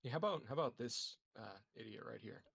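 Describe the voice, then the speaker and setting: A man's voice: mid-range voice, fast talker, vocal fry, a bit bright, slightly rough, dry recording. A man chatting casually as a tabletop game player, hesitantly thinking aloud.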